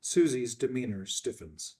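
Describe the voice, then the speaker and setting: A man's voice: mid-range voice, very animated, unhurried, a little mellow, distant mic. Male reader for stage directions, middle register, recorded a bit away from the mic. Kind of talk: scripted narration of screenplay directions.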